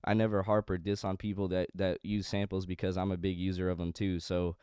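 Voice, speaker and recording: A man's voice: low-pitched, flat delivery, a little fast, darkish tone, smooth, no echo, studio quality. A young man, a music producer, talking casually with a congested, slightly nasal voice, rambling with many fillers and restarts. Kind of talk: casual studio walkthrough.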